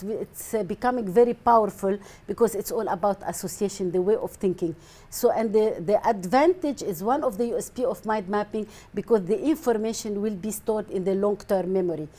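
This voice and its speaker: Brief: female guest on a TV talk show, dark mellow timbre, earnest and repetitive. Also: mid-range voice, fairly quick. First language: Arabic. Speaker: guest, mind mapping coach. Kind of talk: TV studio interview.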